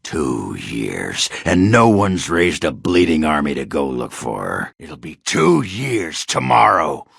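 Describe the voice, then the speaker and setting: A male actor playing a gruff, crude, hard-drinking dwarf warrior in a video game, cranky and boastful, with a growling, sardonic, blustering delivery. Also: extremely low pitch, huge pitch swings, takes it slowly, a bit bright, no echo, studio quality, full character voice. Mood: bitter, indignant. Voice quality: gravelly, growling rasp. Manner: blustering, earthy swearing, sardonic. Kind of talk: video game character acting.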